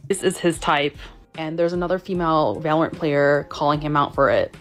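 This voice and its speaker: A woman narrating a quick, matter-of-fact news recap. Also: low-pitched, soft background music.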